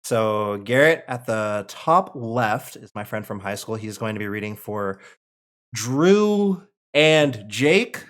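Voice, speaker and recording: A man's voice: fairly low pitch, lively intonation, slow. A young man hosting casually on a livestream, rambling and correcting himself as he explains and narrates. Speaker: host and aspiring screenwriter reading stage directions.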